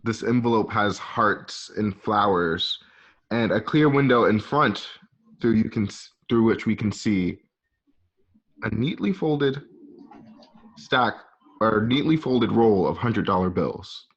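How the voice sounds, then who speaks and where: Male narrator for a script reading: lowish pitch, informal, halting delivery, lo-fi home sound. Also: lively intonation, husky. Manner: halting, casual, present-tense scene description. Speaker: narrator reading stage directions. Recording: dull, lo-fi home recording.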